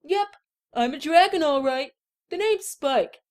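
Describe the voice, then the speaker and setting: A woman's voice: high voice, expressive, a little slow. A woman voicing a friendly, eager young boy dragon with a casual, earnest manner in an audio drama. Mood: cheerful. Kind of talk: scripted audio drama character acting.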